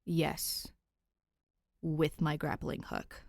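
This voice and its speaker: A woman's voice: low-pitched, flat delivery, very slow, vocal fry, darkish tone, no room sound, full character voice. A woman voicing an exasperated, protective young male masked vigilante, weary and dry, in an audiobook story. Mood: resigned. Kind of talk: audiobook character dialogue.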